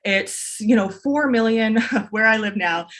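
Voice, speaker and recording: A woman's voice: on the high side, a little slow, a bit bright. Chatty woman telling her story. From Canada. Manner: enthusiastic, long-winded with restarts. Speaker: podcast guest, business mentor. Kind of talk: casual interview storytelling.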